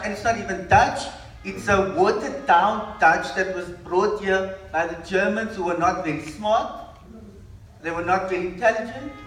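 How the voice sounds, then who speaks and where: A man with a high voice speaks on a discussion panel, driving his points with emphatic passion and frequent restarts. He is picked up from a distance, so his voice rings through an echoey room. A faint murmur of ambience sits underneath. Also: fairly bright tone, a little hiss or hum. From South Africa. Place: hall at a panel event. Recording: echoey room, mic far off.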